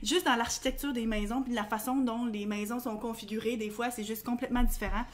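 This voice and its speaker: Québécois woman co-host, young, high-pitched, quick chatty delivery, casual podcast. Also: steady tone. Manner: chatty, quick, colloquial, many fillers. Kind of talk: casual chat.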